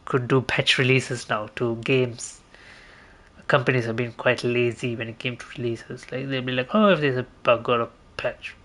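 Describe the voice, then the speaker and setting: A man's voice: mid-range voice, steady tone, normal speed, fairly bright tone. A man giving casual gameplay commentary as a streamer, rambling and hesitant, often repeating himself. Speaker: game streamer.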